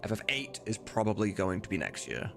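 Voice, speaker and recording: Male livestreamer, lowish register, loose informal delivery with some swearing, talking through his work over music. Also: big pitch swings, fairly quick, gravelly. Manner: informal, swearing, thinking aloud. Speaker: streamer and mod voice director. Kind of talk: casual livestream chat while working. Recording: music behind the voice.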